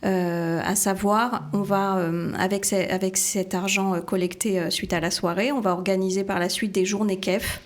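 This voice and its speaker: A woman's voice: medium pitch, steady tone, normal speed, hardly any pauses. Hesitant woman with a mid-range voice. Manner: hesitant, piecemeal phrasing. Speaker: charity organiser. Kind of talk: conversational interview answers.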